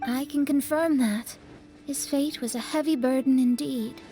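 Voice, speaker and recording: High-voiced, courteous companion. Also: female voice, steady tone, measured pace, dark tone, soft background music. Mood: earnest. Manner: formal, polite, emphatic. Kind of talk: anime video game dialogue.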